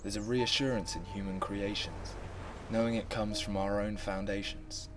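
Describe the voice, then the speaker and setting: A man playing a troubled, earnest mortal who questions Death in measured, solemn rhyme. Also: fairly low pitch, fairly even intonation, over music, lightly acted. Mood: earnest, defensive. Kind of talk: rhymed poetic monologue.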